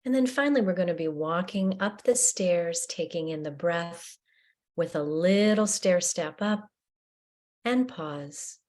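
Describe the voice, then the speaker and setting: A woman leading a guided breathing meditation in a slow, gentle, soothing way with long pauses. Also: fairly low pitch.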